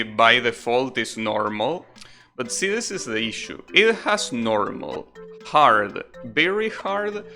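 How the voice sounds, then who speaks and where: A man's voice: medium pitch, bright, forceful tone. A man giving casual, rambling live commentary as a game streamer, chatting and explaining as they go. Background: video game music.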